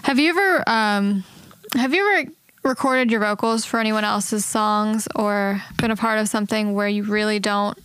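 A woman co-hosting a podcast in casual chat, talkative and quick to laugh, with plenty of filler words. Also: mid-range voice, fairly bright tone, clean tone, no room sound. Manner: chatty, laughs often, lots of "like". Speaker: podcast co-host.

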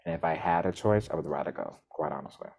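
Give me a low-voiced man chatting loosely on a podcast, wandering and restarting his sentences. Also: fast talker, creaky, highs cut off. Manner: rambling, restarts often, animated bursts. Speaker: podcast co-host. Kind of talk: casual podcast chat.

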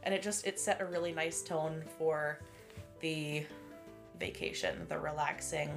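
A woman's voice: low-pitched, fairly even intonation, unhurried, gravelly, over music. A Canadian woman in her late twenties, co-hosting a podcast in a casual, rambling chat, with a stuffy, sniffly nose. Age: late 20s. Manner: chatty, rambling, laughs often. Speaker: podcast co-host.

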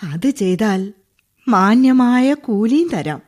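Slow, mellow, knowing older woman. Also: fairly high pitch, lively intonation. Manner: deliberate, probing. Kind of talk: radio drama acting.

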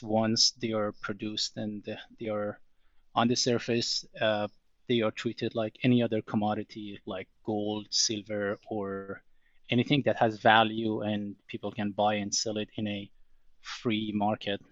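A man, a podcast guest, explaining his work in a measured way with frequent pauses. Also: lowish voice, dry recording, muffled recording. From Iran. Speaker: guest, oil trading commercial operator. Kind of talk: casual interview answers.

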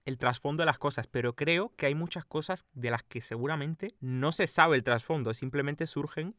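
A man's voice: a little high, moderate pace, fairly bright tone, dry recording, clean studio sound. A young man from Cuba who speaks with a Madrid Spanish accent, co-hosting a casual podcast chat and explaining at length with frequent laughs. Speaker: podcast co-host.

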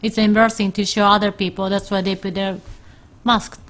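A Japanese woman chatting casually in halting English, with Japanese as her first language, laughing often. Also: medium pitch, level tone, fairly bright tone. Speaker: co-host. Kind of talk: casual chat.

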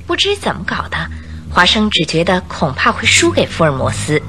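A woman narrating a radio drama as an expressive storyteller with scripted, dramatic delivery. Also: fairly low pitch, moderate pace. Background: background music.

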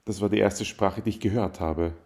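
A man's voice: fairly low pitch, fairly even intonation, moderate pace, a little mellow. A young man, answering interview questions in a thoughtful, hesitant way. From Austria. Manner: thoughtful, hesitant with fillers and restarts. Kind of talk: casual interview.

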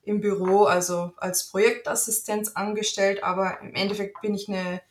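A woman's voice: mid-range voice, level tone, moderate pace, echoey room. A young woman whose first language is Italian, chatting casually with many fillers as an interview guest. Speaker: interview guest, office assistant and freelance translator.

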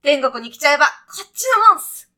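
A woman's voice: high voice, big pitch swings, quick pace, pushed and bright, slight background noise, full character voice. A woman playing a brash, breezy guide to heaven in a comic radio sketch, talking in punchy, clipped, casual slang like a sporty junior employee. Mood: upbeat, brash.

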